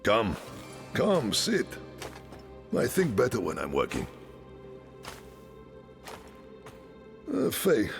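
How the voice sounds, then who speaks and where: A kindly village carpenter in a game cinematic. His voice is very low and gravelly, with swooping intonation and a thoughtful, halting delivery. Music plays behind him. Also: exaggerated voice. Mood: genial. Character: kindly gruff male village carpenter and father. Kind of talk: video game cinematic acting.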